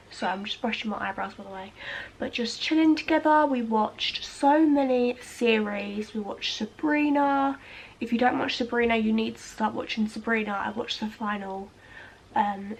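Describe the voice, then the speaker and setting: A woman's voice: on the high side, breathy edge, low-quality recording. A young British woman vlogging casually from home, congested and nasal from a cold, rambling with lots of fillers while grooming her eyebrows. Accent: British English. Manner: chatty, rambling, filler-heavy. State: congested, blocked nose. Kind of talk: casual chat to camera. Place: at home, indoors.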